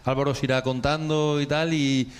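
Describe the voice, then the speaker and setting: A man's voice: medium pitch, little pitch movement, moderate pace, clean tone. A man hosting a radio panel discussion, chatty and self-deprecating, with many fillers. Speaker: radio host.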